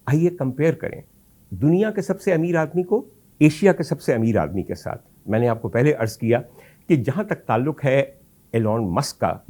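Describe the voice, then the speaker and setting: A male talk show host with a Pakistani accent speaks in a medium-pitched voice. He delivers commentary as a monologue to his audience. His manner is rhetorical and theatrical, leaning deliberately on key phrases. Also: darkish tone. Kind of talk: monologue commentary addressed to audience, mixing Urdu and English.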